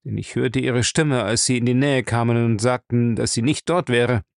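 A man's voice: mid-range voice, steady tone, moderate pace, creaky, no echo, clean studio sound, natural voice. A man voicing a cowardly, beaten crook, defiant and terrified, gasping in desperation in an audiobook. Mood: frightened, pleading. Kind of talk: audiobook character acting.

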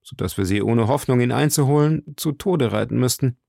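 A man voicing a sober, reasoning gentleman musketeer in an audiobook. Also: medium pitch, brisk, gravelly, dry recording, studio quality. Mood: cautioning. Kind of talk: audiobook character voice.

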